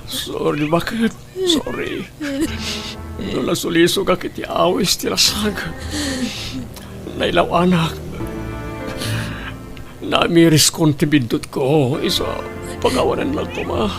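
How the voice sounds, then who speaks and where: A man playing a remorseful husband who pleads haltingly for his wife's forgiveness in an audio drama. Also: high-pitched, wildly swooping pitch, creaky, exaggerated voice. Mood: tearful, remorseful. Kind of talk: emotional audio drama acting. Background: music behind the voice.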